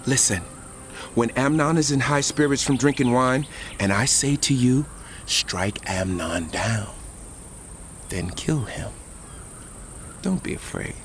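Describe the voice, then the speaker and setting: An actor playing a controlled, scheming, vengeful prince with a deliberate, commanding manner in a dramatized audio Bible. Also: male voice, mid-range voice, slight background noise, lightly acted. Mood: grim command.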